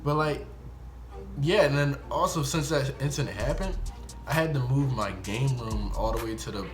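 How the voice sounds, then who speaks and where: A man's voice: medium pitch, moderate pace. Young American man telling a story over music. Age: young adult. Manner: animated, slangy, re-enacts dialogue. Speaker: YouTube storyteller. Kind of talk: casual first-person storytime vlog.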